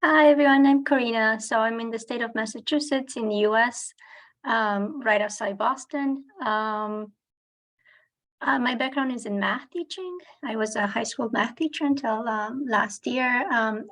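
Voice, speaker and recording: A woman's voice: a little high, a little slow, a bit bright. A woman giving a casual self-introduction on a group call in a chatty, informal way. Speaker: coding program participant.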